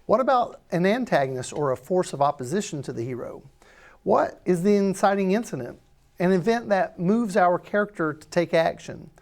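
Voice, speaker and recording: Low-voiced woman presenting. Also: expressive, normal speed, darkish tone. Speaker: presenter of a screenplay table read. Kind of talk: scripted introduction.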